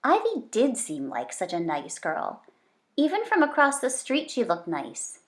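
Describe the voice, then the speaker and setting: A woman reads a children's story aloud at an unhurried pace. Her pitch is lively and varied, and she speaks straight to her young listeners as a read-aloud host. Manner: addresses young children directly, animated. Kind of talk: expressive storybook narration for children.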